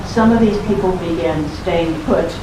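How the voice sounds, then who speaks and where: An older woman with a low, soft voice giving an unhurried bookstore talk and reading aloud from her book. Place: bookstore event room. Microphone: room recording from a distance. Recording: roomy, distant, noisy live recording.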